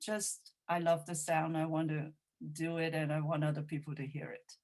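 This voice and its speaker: A woman's voice: sits low, flat delivery, normal speed, darkish tone. A woman whose first language is Cantonese, a poet and translator answering an audience question by telling a reflective story with frequent restarts. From China.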